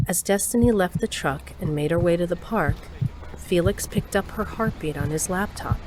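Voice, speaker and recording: A woman's voice: on the low side, normal speed, background noise. A woman delivering scripted narration for an audio drama.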